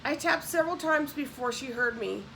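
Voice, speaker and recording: A woman narrates a book in a clearly high voice. Her reading is expressive and slips into casual explanation. The recording has a light layer of noise. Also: normal speed, poor audio. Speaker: audiobook narrator.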